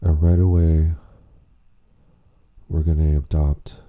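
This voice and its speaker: A man leading a guided meditation, speaking in an unhurried, gently questioning way with long pauses. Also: rumbling low, level tone. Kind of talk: slow spoken guided meditation.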